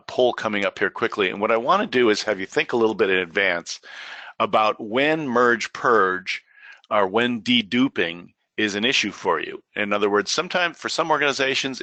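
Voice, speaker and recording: A middle-aged man with a froggy, hoarse voice presenting a software training webinar, hesitant, with many fillers and restarts. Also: lowish voice, no echo. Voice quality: frequent filler words and restarts. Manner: rambling, hesitant delivery.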